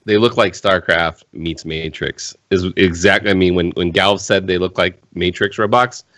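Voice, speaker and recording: A man's voice: low voice, steady tone, moderate pace, a bit bright, dry recording. A man co-hosting a casual gaming podcast, giving frank opinions with many "I mean"s. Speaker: podcast co-host.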